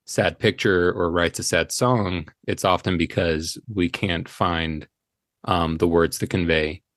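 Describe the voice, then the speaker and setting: Male podcast guest, deep register, reflective and conversational, recorded dry. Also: a bit bright, no room sound. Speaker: podcast guest, indie audio drama creator. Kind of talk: reflective casual interview talk.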